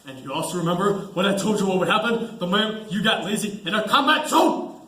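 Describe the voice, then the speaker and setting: A man plays a stern sergeant major in an amateur stage drama. His voice is very high and he barks scolding questions at a private. The sound is echoey. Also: animated, fast talker, sharp tone. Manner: barking, scolding, interrogating. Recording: echoey school stage recording.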